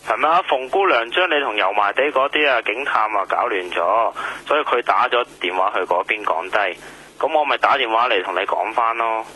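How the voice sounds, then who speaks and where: Bright, husky, chatty pastor. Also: male voice, medium pitch, a little fast, low-quality recording. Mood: chatty, explaining. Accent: Hong Kong Cantonese. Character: chatty, helpful male church pastor on the phone. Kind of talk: radio drama acting, conversational.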